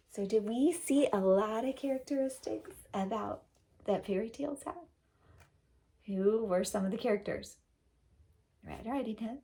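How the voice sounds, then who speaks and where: A woman's voice: medium pitch, creaky, a little mellow. A woman teacher reading a storybook aloud expressively, with questioning, instructive asides.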